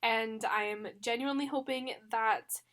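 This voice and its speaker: A young woman with a fairly high voice chats casually to her viewers. Her voice is stuffy and a little rough from the flu. She rambles, with frequent fillers and small laughs. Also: steady tone, unhurried, a bit bright. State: congested from the flu, with a slight cough. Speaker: planner vlogger. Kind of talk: casual chat.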